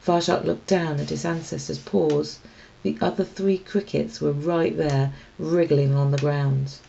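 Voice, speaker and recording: A woman reading a children's story aloud as expressive scripted narration. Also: deep voice, unhurried, vocal fry, muffled recording, slight background noise. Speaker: storybook reader.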